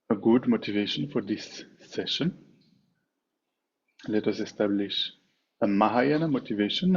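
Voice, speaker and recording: Low-voiced man guiding a meditation, dull noisy recording. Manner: measured, with frequent pauses between short phrases. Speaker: meditation teacher. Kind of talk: guided meditation instruction. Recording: dull, muffled online call with clear background noise.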